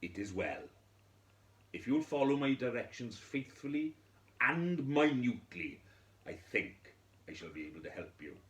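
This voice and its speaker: A man voicing a solemn wise man in a fairy tale, giving deliberate, emphatic and commanding instructions. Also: medium pitch, big pitch swings, fairly bright tone, lo-fi sound, voice pushed up. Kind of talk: storybook character reading.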